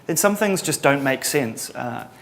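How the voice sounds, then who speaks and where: A middle-aged man from New Zealand with a Kiwi accent, giving a relaxed conference talk with lots of ums and a dry sense of humor. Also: mid-range voice, unhurried, creaky. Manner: casual, many ums and uhs, dry humor. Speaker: conference presenter.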